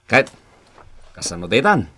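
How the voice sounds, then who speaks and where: A man's voice: medium pitch, very animated, a little slow, sharp tone, breathy edge, full character voice. An actor playing a brash, teasing young man in a Filipino radio drama, with an Ilocano accent and emphatic delivery. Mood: urging. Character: brash, teasing young buddy egging his friend on. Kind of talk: Philippine radio drama acting.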